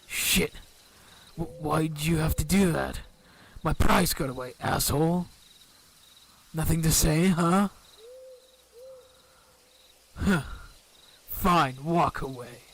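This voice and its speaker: A man's voice: fairly high pitch, takes it slowly, creaky, pauses often, fairly bright tone, husky, heavily acted. A man playing a cruel, foul-mouthed werewolf hunter in an audio roleplay, menacing and sneering. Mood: angry, taunting. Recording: noisy outdoor ambience with chirping crickets.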